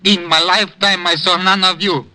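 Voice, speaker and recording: A man's voice: sits high, level tone, normal speed, pushed and bright, no room sound. An actor playing a weary, courtly old immigrant man with formal, mournful manners in a 1950s radio drama. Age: older.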